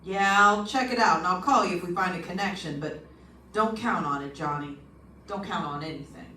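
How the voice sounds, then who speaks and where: A woman's voice: on the low side, fairly quick, natural voice. Woman acting as world-weary police lieutenant, matter-of-fact, radio drama, roomy, distant. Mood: cautious, doubtful. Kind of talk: old-time radio detective acting. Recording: echoey stage, distant mic.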